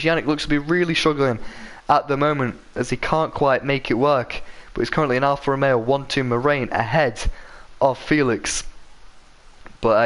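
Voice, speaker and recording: A man calls an online racing league live, his voice a little croaky from a sore throat. He talks at a middling pitch, rambles between moments of action and corrects himself often, filling the gaps with ums and uhs. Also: a bit bright. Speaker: race commentator. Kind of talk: live sim-racing commentary.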